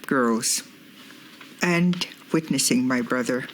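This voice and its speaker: A woman in her sixties from Alberta, Canada, giving measured interview testimony. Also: a bass voice, slight background noise, rough recording. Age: 60s. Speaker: residential school survivor.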